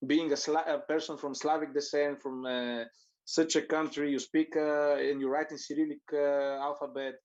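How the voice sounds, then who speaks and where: A man speaks as an interview guest, pausing often and searching for words. His voice sits fairly high for a man. The sound is dull and lo-fi. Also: flat delivery, a little mellow. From Bulgaria. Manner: thoughtful, hesitant, with frequent fillers. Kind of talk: conversational interview discussion.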